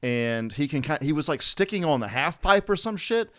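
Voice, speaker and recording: Blunt, clear-voiced man in casual discussion. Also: on the high side, big pitch swings, moderate pace, a bit bright. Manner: blunt, profane. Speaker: podcast panelist.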